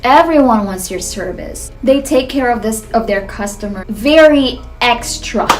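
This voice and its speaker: A woman's voice: a little high, lively intonation, a little slow, over music. A woman in her thirties from the Philippines with a Filipino accent, talking casually to camera in an earnest, chatty way with light laughs and restarts. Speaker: vlogger.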